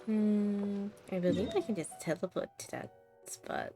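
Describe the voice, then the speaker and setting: A woman's voice: medium pitch, unhurried, warm tone, faint music behind. A woman streaming a game with casual, playful, musing reaction chat.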